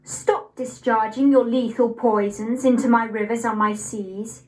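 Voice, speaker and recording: A young adult woman with an English accent, reciting poetry expressively with measured, deliberate phrasing. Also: on the high side, steady tone, a little mellow, poor audio. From Nottingham, England. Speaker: poetry reader.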